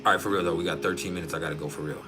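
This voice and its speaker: A man with a naturally deep voice, chatting casually and bluntly as a livestream host, in slangy, profane language. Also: steady tone, very fast. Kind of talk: casual live chat with viewers. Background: music.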